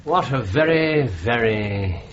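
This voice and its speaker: An actor playing a shrewd, dryly amused gentleman detective in a radio drama, precise in speech. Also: medium pitch, lively intonation, slow. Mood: wry, intrigued. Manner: dry, precise, wry. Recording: slightly muffled radio play, faint noise.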